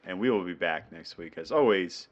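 A man's voice: low-pitched, animated, normal speed, highs cut off. A man with a New England accent co-hosting a casual sports podcast, chatty and laughing often. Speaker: podcast co-host.

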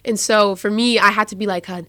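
A woman's voice: medium pitch, fairly even intonation, a little fast, pushed and bright, smooth, dry recording. A young woman in her late teens chatting casually as a student guest, rambling and self-deprecating, saying like often. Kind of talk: casual chat.

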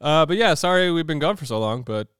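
Man, podcast co-host, stuffy nose, rambling with fillers, smooth voice, dry close sound. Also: a little high, animated, fast, a bit bright, dry recording. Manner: rambling, filler-heavy, laughs often. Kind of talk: casual podcast chat.

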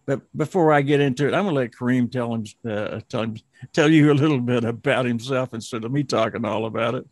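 A man's voice: medium pitch, fast, no echo. A man hosting a podcast, welcoming his guest in an upbeat way with some repeated words. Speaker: podcast host. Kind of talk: scripted intro then casual hosting.

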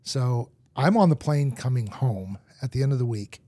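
A man who grew up in Argentina, a podcast guest telling lively stories in conversation. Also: mid-range voice, moderate pace, no echo. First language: English. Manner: lively storyteller acting out anecdotes. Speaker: guest, marketing executive.

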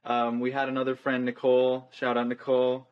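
A man's voice: mid-range voice, completely flat delivery, a little fast. Rambling nineteen-year-old guy, dull sound. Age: young adult. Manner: rambling, loose, many fillers. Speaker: guest musician. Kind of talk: casual interview chat.

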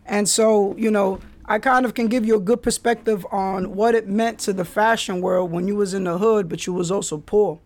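A man's voice: high-pitched, fairly even intonation, normal speed, few pauses. A man hosting a podcast, telling stories in a laid-back way with street slang. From the Bronx, New York. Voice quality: raspy. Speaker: podcast host. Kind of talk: casual solo podcast storytelling.

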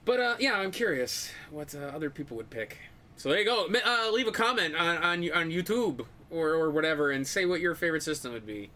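Bright-voiced man chatting casually about games. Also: lively intonation, brisk. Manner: informal, profane. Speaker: podcast host. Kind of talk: casual gaming chat. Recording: faint background noise and low rumble.

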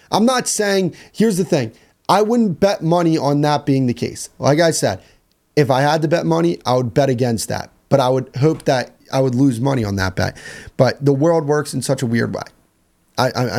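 Hoarse young man, dark casual voice. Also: fairly high pitch. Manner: casual, rambling, repeats phrases. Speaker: podcast host. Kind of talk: solo sports podcast talk.

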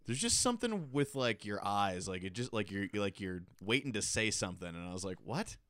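Laid-back low-voiced guy. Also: sing-song, brisk, a bit bright, no echo, studio quality. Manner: laid-back, laughs often, swears casually. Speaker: podcast co-host. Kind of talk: casual podcast chat.

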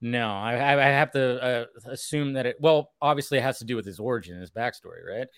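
A man's voice: medium pitch, quick pace, a bit bright, smooth, dry recording, studio quality. A man co-hosting a casual discussion show, talking in a rambling, slangy way that wanders into tangents. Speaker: co-host of animated series discussion show. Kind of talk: casual chat.

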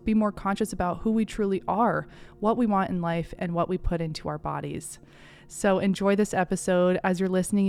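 A woman's voice: on the low side, a little mellow. A woman hosting a podcast, welcoming listeners and introducing her guest with effusive praise before interviewing her. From United States. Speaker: podcast host.

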